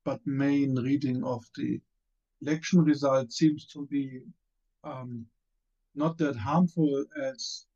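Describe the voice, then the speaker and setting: Man, German accent, hesitant with many fillers, dark mellow voice, dull video call sound. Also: mid-range voice, steady tone, slow. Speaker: panelist, former member of parliament. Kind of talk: online panel discussion.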